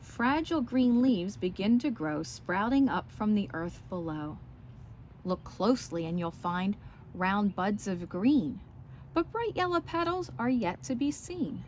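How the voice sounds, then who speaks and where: A woman speaks slowly, as in a story time for young children. She explains things simply and checks in with questions as she reads and shows. There is some background noise in the recording. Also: medium pitch, expressive, a little mellow. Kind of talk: read-aloud and show-and-tell for young children.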